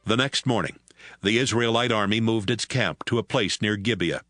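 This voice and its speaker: A man narrating scripture as a measured, scripted storyteller in an audio Bible. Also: fairly low pitch, fairly bright tone, no echo, faint music behind.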